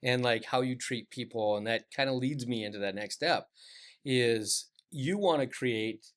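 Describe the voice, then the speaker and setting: A man hosting a podcast in an average-pitched voice. He asks relaxed, friendly questions that are full of loose fillers. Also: measured pace. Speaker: podcast host. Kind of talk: casual podcast interview.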